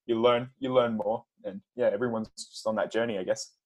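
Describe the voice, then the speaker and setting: A young man, appearing as a podcast guest. His voice is on the low side, and he speaks in a halting way, stumbling often out of nerves. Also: steady tone, rough recording. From Australia. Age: 20s. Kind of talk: casual interview.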